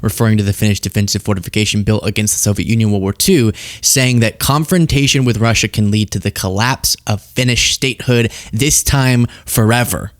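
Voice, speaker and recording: A man's voice: lowish voice, fairly quick, hardly any pauses, dry recording, clean studio sound. A man hosting a political commentary show, talking casually and sarcastically, laughing often.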